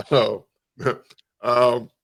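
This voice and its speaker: A man's voice: medium pitch, flat delivery, drawn-out words, creaky, fairly bright tone. An American man co-hosting a podcast, chatting loosely and casually among friends. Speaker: podcast co-host.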